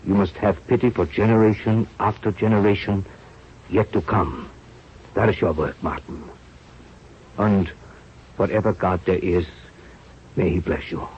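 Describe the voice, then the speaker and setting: Old scientist, grave, muffled vintage radio. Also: male voice, deep voice, a little hiss or hum. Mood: solemn, fatherly. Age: older. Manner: halting, grave, earnest. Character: aging kindly, worried old scientist mentor, solemn. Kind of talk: 1930s radio drama acting.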